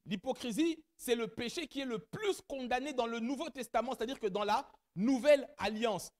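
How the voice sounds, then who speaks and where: A middle-aged man preaching a church sermon whose first language is not French, speaking animatedly and rising to loud emphatic peaks as he addresses the congregation. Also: extremely high pitch, moderate pace. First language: not French; has another mother tongue. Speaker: pastor.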